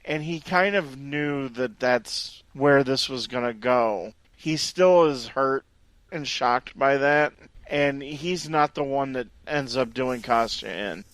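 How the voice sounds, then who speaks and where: A man's voice: medium pitch, slow pace, fairly bright tone, dry recording. A man in a casual film review chat, making his points emphatically and with conviction. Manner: emphatic, sure of his reading. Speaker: podcast co-host.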